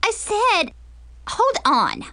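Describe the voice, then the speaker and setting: A woman's voice: very high-pitched, big pitch swings, very slow, sharp tone, dry recording, clean studio sound, pitched above the performer's own voice, full character voice. A woman voicing a bratty, dramatic, self-absorbed teenage girl in a storybook, whiny and exasperated.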